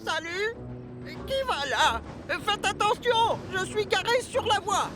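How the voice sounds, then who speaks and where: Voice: extremely high pitch, lively intonation, slow pace, a crowd in the background, exaggerated voice. An actor voicing a fussy, cautious male brake van in a children's cartoon, speaking formally and dutifully and easily alarmed. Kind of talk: French-dubbed children's cartoon acting. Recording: music bed behind the voice.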